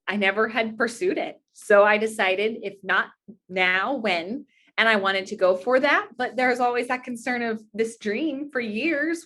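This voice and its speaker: A woman's voice: mid-range voice, fairly bright tone. A young American woman giving conversational interview answers as a podcast guest. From Indiana, United States. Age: young adult. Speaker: podcast guest, author and pageant titleholder.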